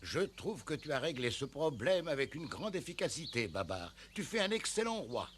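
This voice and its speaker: A man's voice: a little high, big pitch swings, moderate pace, a bit bright, slight background noise. A man voicing an elderly, kindly elephant royal adviser in a French-dubbed cartoon, fussy and formal. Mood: approving, earnest.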